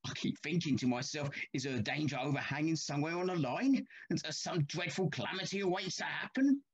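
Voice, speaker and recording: An actor playing an anxious, haunted older railway signalman in a Victorian ghost story, troubled and halting, with formal old-fashioned speech. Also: a little high, expressive, husky, lo-fi sound. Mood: frantic worry.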